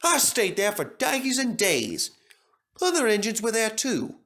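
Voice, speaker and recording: A man's voice: high-pitched, huge pitch swings, measured pace, sharp tone, voice pushed up, full character voice. Man voicing an earnest, humble storybook engine. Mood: earnest, recounting. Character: brave, humble, earnest male visiting steam engine. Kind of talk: children's story character voice.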